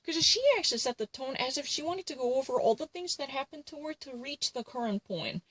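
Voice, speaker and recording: A woman's voice: high voice, animated, brisk, fairly bright tone, slightly rough, poor audio. A woman ranting to camera in an indignant, repetitive way, filling her sentences with "actually" and "you know". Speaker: vlogger.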